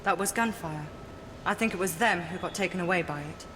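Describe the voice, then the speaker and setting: A woman's voice: on the low side, brisk, noisy background, natural voice. A woman playing a tough adventurer in a video game, with a cool, clipped manner. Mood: cool, questioning. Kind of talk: video game cutscene acting.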